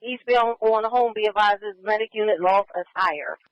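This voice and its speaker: A man's voice: high voice, normal speed, clear, resonant tone, no room sound. A man working as a police radio dispatcher, repeating locations and orders in clipped radio phrases. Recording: muffled scanner radio audio.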